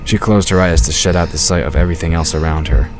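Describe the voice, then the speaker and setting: A man with an exceptionally low voice narrates a fantasy audio drama. He reads scripted prose as the story's narrator, with music clearly playing behind him. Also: steady tone, fast talker.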